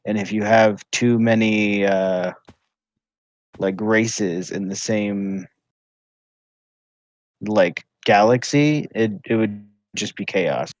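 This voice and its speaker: A man talking casually with friends on a livestream, halting and full of fillers. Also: lowish voice, no room sound. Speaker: livestream gamer. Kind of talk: casual chat.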